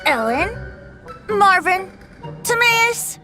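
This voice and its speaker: A boyish young bard from an anime game, high and very animated. He is wily and playful, stretching words theatrically over background music. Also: heavily acted. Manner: theatrical, drawn-out, teasing phrasing. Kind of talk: anime video game dialogue.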